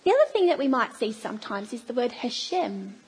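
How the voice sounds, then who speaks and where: Middle-aged Australian woman, preacher; high, husky voice, storytelling with playful asides. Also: big pitch swings, fairly quick. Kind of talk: sermon.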